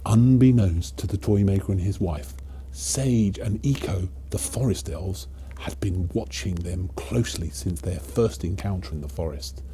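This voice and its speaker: Deep, mellow male storyteller. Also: measured pace, slight background noise. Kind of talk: dramatic children's storybook narration.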